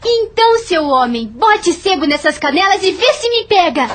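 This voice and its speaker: An actress playing a proud, determined young heiress in an audio drama, with a Brazilian accent and a theatrical, emphatic delivery. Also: female voice, very high-pitched, big pitch swings, moderate pace, slight background noise. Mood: indignant, teasing.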